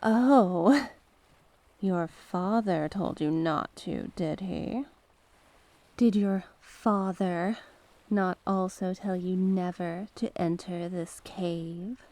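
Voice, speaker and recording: A woman as a slow, coaxing sea witch. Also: on the low side, mellow, no room sound. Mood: coaxing, sly. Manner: coaxing, genteel, terms of endearment. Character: mysterious sea witch, coaxing, sly, courteous monstrous cave dweller. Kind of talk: ASMR roleplay.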